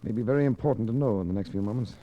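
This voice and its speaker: A man's voice: lowish voice, racing, mellow, muffled recording, lightly acted. A man playing a cool, wry hard-boiled investigator in a 1940s radio mystery, dry and unruffled as he talks and narrates. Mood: cool, alert. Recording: hissy old radio drama recording.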